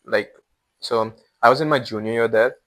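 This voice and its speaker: A man's voice: medium pitch, normal speed, fairly bright tone. A man in his early twenties with an Indian accent, chatting casually as a podcast guest in informal, slangy speech. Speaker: podcast guest, former exchange student.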